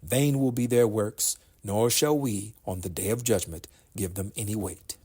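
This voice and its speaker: A man with a slightly husky voice giving a solemn, formal reading of scripture in measured, declamatory phrases. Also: fairly low pitch, a little mellow. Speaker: reader of scripture. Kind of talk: solemn scripture recitation in English translation.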